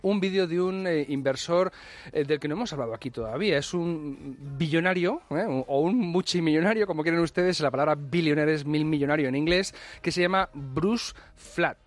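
A man's voice: fairly high pitch, normal speed, dry recording. Rambling radio co-host, a man. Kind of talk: radio chat, giving recommendations.

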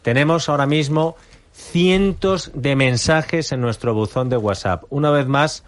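A man from Spain hosting a talk radio show, halting with fillers and restarts, turning pointed and indignant in his commentary. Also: on the high side, no echo. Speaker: radio host. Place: radio studio.

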